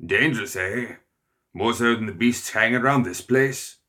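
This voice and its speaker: A man voicing a gruff, boisterous older aristocrat hunter who barks and growls his lines. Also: mid-range voice, normal speed, pushed and bright, pitched above the performer's own voice, lightly acted. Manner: barking, growling.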